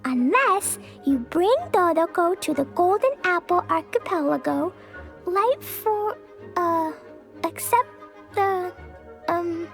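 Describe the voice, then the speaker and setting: Game casting: tiny stubborn, naive little girl, extremely high voice, slow childlike delivery, music underneath. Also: lively intonation, heavily acted. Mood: earnest, halting. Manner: childlike, speaks of self in third person. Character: small earnest girl, excitable, naive and stubborn. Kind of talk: video game cutscene acting. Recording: music behind the voice.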